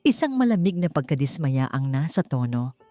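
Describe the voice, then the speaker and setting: A woman narrating an audiobook romance story, speaking in an expressive storytelling manner that climbs higher at dramatic moments. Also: moderate pace. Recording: faint background music.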